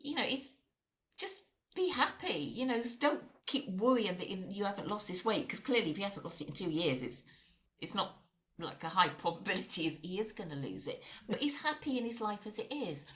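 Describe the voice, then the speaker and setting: A woman in her late sixties chats as a podcast guest, her pitch rising and falling in a lively, animated way. She talks freely and loosely, often repeating the first words of a phrase before she carries on. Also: brisk. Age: 60s. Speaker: guest, ageing researcher and author. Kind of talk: casual podcast conversation.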